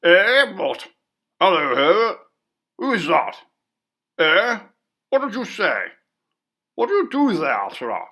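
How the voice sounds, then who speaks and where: A man acts out a bold, pompous gentleman from a storybook ghost tale. He speaks in emphatic, old-fashioned phrases with a gravelly creak, full of bluster and scorn. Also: high voice, big pitch swings, slow pace, pauses often, pitched above the performer's own voice, full character voice. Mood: alarmed shouting. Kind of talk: dramatised ghost story reading.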